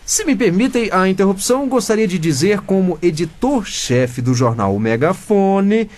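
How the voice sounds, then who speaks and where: Cheeky high-pitched teenage boy, very animated. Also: normal speed. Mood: self-important. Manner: mock-pompous, slangy teenage backtalk. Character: cheeky rebellious 15-year-old son, self-styled newspaper editor. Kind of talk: comedic radio drama acting.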